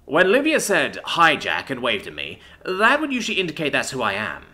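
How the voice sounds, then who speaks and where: A man's voice: medium pitch, wildly swooping pitch, fairly quick, sharp tone, voice pushed up, full character voice. A man voicing a cocky, sarcastic young human man in an audiobook, casual and condescending.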